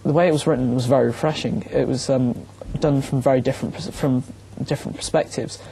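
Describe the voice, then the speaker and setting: A man's voice: medium pitch, steady tone, quick pace, gravelly, background noise. A young man giving an earnest, considered book review as a student.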